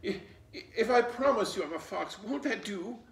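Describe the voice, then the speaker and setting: A man's voice: high voice, expressive, normal speed, fairly bright tone, pitched above the performer's own voice. A man voicing a proud, prissy male fox in a storybook, pompous, wordy and easily indignant. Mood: wailing, exasperated. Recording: roomy, echoing, distant microphone.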